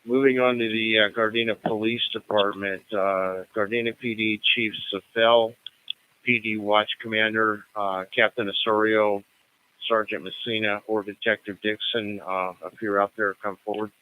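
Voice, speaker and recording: A man's voice: fairly low pitch, level tone, moderate pace, phone-quality sound. An American man acting as net control, running a radio roll call in a formal, procedural manner.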